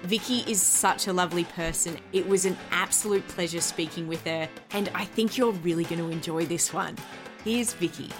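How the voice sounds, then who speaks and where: A woman's voice: lowish voice, moderate pace, over music. A woman with an Australian accent, chatting as the host of an interview podcast.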